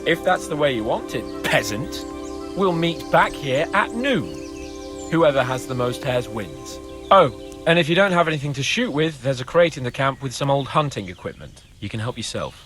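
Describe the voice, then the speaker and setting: A man's voice: mid-range voice, sing-song, normal speed, over music, lightly acted. A man playing a boastful, pompous young nobleman in a medieval video game cutscene, mocking and full of theatrical exclamations. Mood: haughty.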